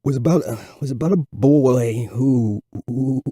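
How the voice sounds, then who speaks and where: A man's voice: mid-range voice, measured pace, mellow, slightly rough, no echo, studio quality. A man voicing a nervous fourth-grade boy with a severe stutter, repeating sounds and words. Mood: anxious, struggling.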